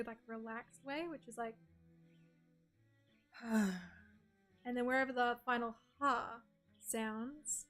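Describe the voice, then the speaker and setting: An Australian woman with a soft, breathy voice, chatting casually with her stream viewers and reading their messages aloud. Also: fairly high pitch. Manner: chatty, reads chat messages aloud and answers them. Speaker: game streamer.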